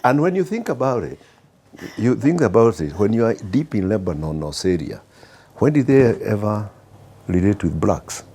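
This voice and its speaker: A middle-aged man from Kenya with a Kenyan accent, guest on an interview podcast, telling anecdotes slowly with many fillers and restarts. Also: fairly low pitch, very animated, warm tone, slightly rough. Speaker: guest executive.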